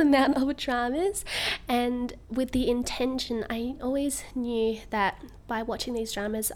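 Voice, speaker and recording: A woman's voice: a little high, a little mellow. A young woman from Australia, a podcast guest who chats in a bubbly way and laughs often. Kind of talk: casual interview chat.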